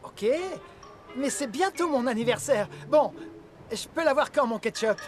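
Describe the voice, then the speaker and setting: A cheeky young male cartoon footballer, with a notably high voice. He sounds eager and wheedling, with faint music behind him. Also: animated, measured pace. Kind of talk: dubbed children's cartoon acting.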